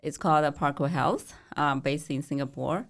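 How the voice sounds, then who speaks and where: A woman's voice: low voice, normal speed. A woman from China with a Chinese accent, a nurse practitioner telling stories in casual interview talk, with simple non-native phrasing and frequent laughs.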